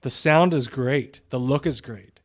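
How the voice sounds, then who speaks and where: A man's voice: medium pitch, measured pace, no echo, clean studio sound. A man co-hosting a podcast with casual, opinionated commentary, emphatic, repeating phrases for stress and swearing freely. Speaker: podcast co-host.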